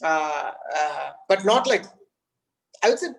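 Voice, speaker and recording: Halting Indian English man, Tamil speaker. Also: on the high side, lively intonation, creaky, fairly bright tone, low-quality recording. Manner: halting, many restarts and fillers, storytelling. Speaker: guest game developer. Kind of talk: casual conversation.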